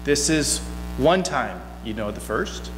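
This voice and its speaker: A man's voice: mid-range voice, slow. A middle-aged man giving an informal, story-driven sermon from a church pulpit in a folksy, conversational manner. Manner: folksy, conversational, animated asides. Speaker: guest preacher. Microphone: gooseneck pulpit microphone. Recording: echoey hall, long reverb, mains hum.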